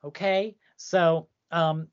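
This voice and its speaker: A woman's voice: low-pitched, steady tone, very slow, dull audio. A woman co-hosting a podcast, chatting casually and rambling with lots of "like". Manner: chatty, rambling, heavy use of "like". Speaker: podcast co-host. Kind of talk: casual chat about song contests.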